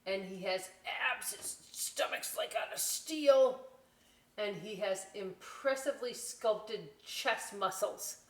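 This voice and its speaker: A woman's voice: medium pitch, slow pace. An American woman presenting a children's story time, reading a picture book aloud expressively and chatting through folksy, explanatory asides. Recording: roomy echo, distant microphone.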